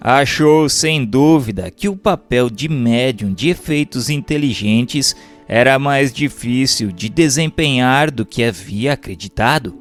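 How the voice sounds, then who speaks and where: A Brazilian man reading formal scripted narration aloud in a measured, declamatory way, like an audiobook. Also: medium pitch. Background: music.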